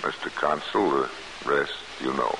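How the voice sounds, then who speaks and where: A man's voice: a bass voice, measured pace, creaky, very noisy, heavily acted. An actor playing a grim, determined escaped prisoner telling his story in 1940s radio drama style. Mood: grim, weary. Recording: old 1940s radio broadcast, music behind.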